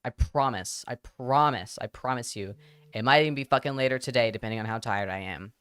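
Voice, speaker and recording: A man raised in Spain, speaking with an American accent, chatting casually on a livestream, rambling and animated and slipping between English and Spanish. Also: mid-range voice, brisk, clean tone. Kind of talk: casual livestream chat, answering chat questions.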